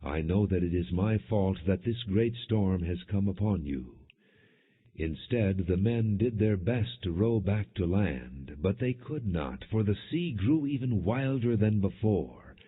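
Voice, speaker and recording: A man reading scripture as an audiobook narrator, in a measured, solemn and expressive way. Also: sits low, husky. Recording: dull, muffled lo-fi audio.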